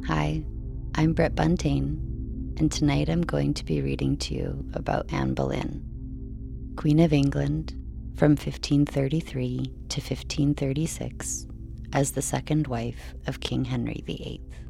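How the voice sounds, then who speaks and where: A woman reading a scripted bedtime narration in a calm, measured, soothing way. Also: sits low, vocal fry. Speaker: narrator, bedtime story reader. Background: faint music.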